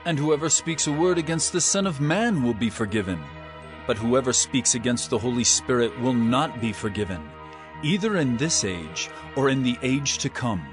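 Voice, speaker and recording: A man's voice: mid-range voice, animated, normal speed, over music, lightly acted. An actor playing a stern, earnest male teacher with authority, declaiming emphatically in a dramatized scripture production. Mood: firm, reasoning.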